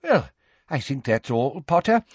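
A man's voice: medium pitch, sing-song, measured pace, slightly rough, no room sound, lo-fi sound, voice pushed up, heavily acted. A man voicing a kindly, encouraging old male examiner with a quavery voice in an audiobook. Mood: delighted, coaxing. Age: older.

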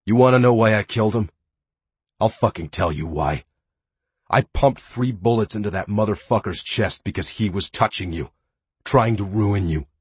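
A man's voice: lowish voice, animated, moderate pace, breathy edge, dry recording. A man voicing an obsessive, violent young man who growls and spits threats. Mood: menacing.

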